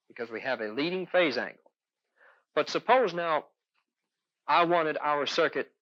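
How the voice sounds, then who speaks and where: A man's voice: mid-range voice, sharp tone, dry recording. A man lecturing as an electronics instructor in a 1970s instructional film, explaining methodically step by step. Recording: dull, dry 1970s film soundtrack.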